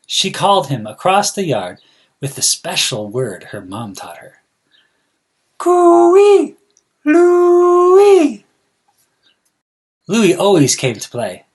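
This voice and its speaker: A man reading aloud to children in an expressive, deliberate way, addressing them directly like a school counselor. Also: mid-range voice, slightly rough.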